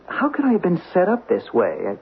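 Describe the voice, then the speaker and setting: On a dull old radio recording, an earnest, trusting employee talks casually. His husky voice is very animated, with a puzzled, loyal air in radio drama style. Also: high voice, a little fast, full character voice. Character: trusting, loyal young American male employee.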